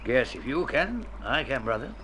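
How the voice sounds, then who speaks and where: An actor playing a tough, stubborn old country doctor in a radio drama, folksy, with a rural American mountain accent. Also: male voice, medium pitch, big pitch swings, moderate pace, fairly bright tone. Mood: stubborn, teasing. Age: older. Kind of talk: Golden Age radio drama acting. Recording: noisy old radio recording.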